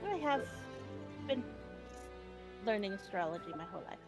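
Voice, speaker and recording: A woman's voice: medium pitch, animated, normal speed, music in the background. Chatty woman describing her character. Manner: chatty, many ums and likes, laughs often. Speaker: player. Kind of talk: describing her character's actions in a tabletop game.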